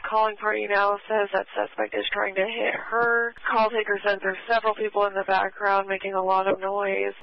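A woman police dispatcher reading out call details over the radio in a steady, methodical way. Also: medium pitch, creaky. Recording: muffled scanner radio.

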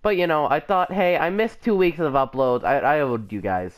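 A man's voice: a little high, normal speed. A young man rambling casually while playing a game, still sick with a cough and the flu. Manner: rambling, chatty, self-correcting. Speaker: gameplay commentator. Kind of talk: casual let's play commentary.

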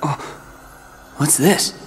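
A man's voice: drawn-out words, faint music behind. An actor playing a guarded, defiant teenage boy hero in a video game, cool and searching. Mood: defiant, wary. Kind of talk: English video game cutscene voice acting.

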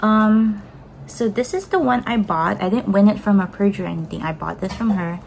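A woman's voice: medium pitch, normal speed, vocal fry, a little mellow, a little hiss or hum, rough recording. A young woman from the Philippines, chatting in a casual, upbeat way as she unboxes plants in her home kitchen, speaking to a camera on the table in front of her. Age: young adult. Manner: chatty and upbeat, gushing, talks while handling plants. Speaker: plant vlogger.

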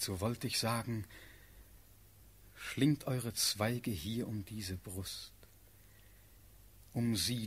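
An actor playing an ardent, devoted young prince in love, speaking fervent verse in a classical radio play. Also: male voice, on the low side, slightly rough.

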